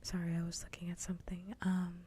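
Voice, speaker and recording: A woman livestreamer chatting casually in a soft ASMR style, mostly in a whisper, close to the microphone. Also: completely flat delivery, fast. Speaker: livestreamer host. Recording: faint background noise, low rumble.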